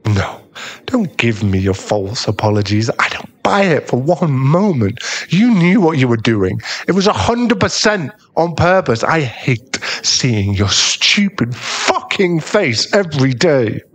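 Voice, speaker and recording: A man playing a bitter, heartbroken young school bully in an audio roleplay, scornful and aggrieved, with a gruff protective streak. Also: mid-range voice, sing-song, a little slow. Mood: bitter, angry. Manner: aggrieved, swearing, scornful, dropping into breathy muttering. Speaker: ASMR roleplay voice actor. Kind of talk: ASMR boyfriend audio roleplay.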